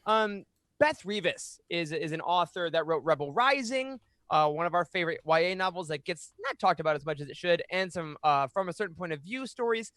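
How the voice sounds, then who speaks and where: A man's voice: on the high side, expressive, fairly quick, fairly bright tone, no room sound. A man in his thirties hosting a podcast in an upbeat, chatty way, close on a microphone in a small home studio. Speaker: podcast host. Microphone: boom-arm microphone up close.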